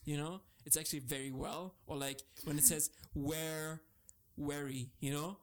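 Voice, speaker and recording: A man's voice: a little high, fairly even intonation, normal speed. Co-host, male, German accent, giggly, repeats himself while thinking. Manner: laughs often, repeats words while searching for them. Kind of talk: casual podcast chat.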